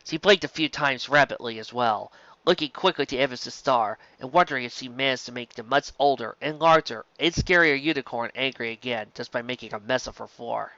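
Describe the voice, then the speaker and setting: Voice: medium pitch, fairly quick, sharp tone, no room sound, dull audio. A narrator reading a story aloud from a script. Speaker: audiobook reader of fan fiction.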